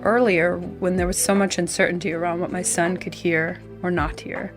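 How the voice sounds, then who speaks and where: A woman reads her own essay as a parent, gentle and reflective. Her voice is on the low side. Soft music plays faintly behind her. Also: level tone, normal speed. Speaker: parent of a deaf son. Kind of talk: personal essay reading.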